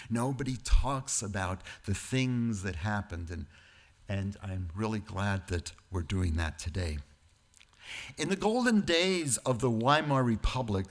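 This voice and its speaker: A man delivering a prepared lecture-style sermon, speaking deliberately phrase by phrase and dropping into informal, wry asides. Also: medium pitch. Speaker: guest speaker at a church service.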